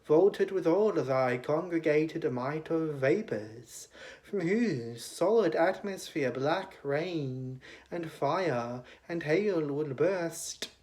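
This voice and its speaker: A man giving a dramatic recitation of poetry in a declamatory manner, with long pauses between phrases. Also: fairly high pitch, expressive, darkish tone. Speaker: poetry reader.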